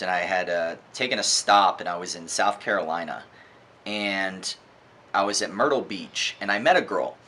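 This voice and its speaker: Male vlogger, fairly low pitch, bright forceful edge; emphatic, conversational opinion delivery over faint background noise. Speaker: book reviewer, vlogger. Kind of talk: informal opinionated book review monologue.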